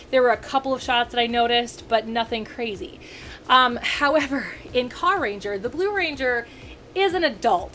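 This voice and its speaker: A woman in her thirties, a video critic ranting casually to camera, rambling and animated with frequent self-corrections and asides. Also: fairly high pitch, a little hiss or hum. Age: 30s.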